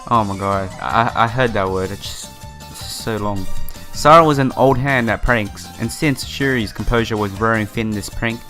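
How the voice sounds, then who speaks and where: A man's voice: lowish voice, normal speed, pushed and bright, soft background music. A man reading a visual novel aloud in a casual playthrough, stumbling over words now and then and reacting with his own commentary. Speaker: gaming YouTuber.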